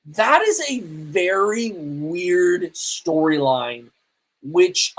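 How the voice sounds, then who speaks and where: A woman's voice: mid-range voice, breathy edge, poor audio. A woman hosting a live podcast with casual, emphatic, opinionated commentary in a conversational way. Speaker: podcast host.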